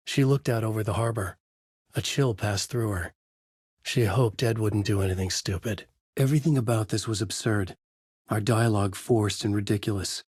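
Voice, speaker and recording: A man playing a brooding, haunted novelist in a video game, narrating his horror story in a grave, measured, dramatic way with a husky voice. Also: on the low side, a little mellow, no echo, clean studio sound. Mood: reflective, grim. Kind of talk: scripted noir horror narration, inner monologue.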